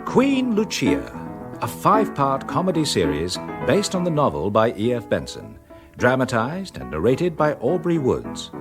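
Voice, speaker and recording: Radio continuity: English man, scripted credits read, very lively intonation, piano underneath. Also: medium pitch, measured pace. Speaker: continuity announcer. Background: piano music.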